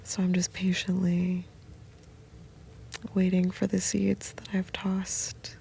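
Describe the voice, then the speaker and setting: A woman whispering soft, meandering, intimate late-night rambling from the floor of a small sound booth. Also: fairly low pitch, monotone, takes it slowly, creaky, slight background noise. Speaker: host.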